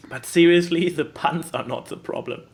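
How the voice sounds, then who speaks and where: Higher-voiced man in emphatic casual chat. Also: normal speed. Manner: emphatic, repeats phrases for stress. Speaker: podcast co-host.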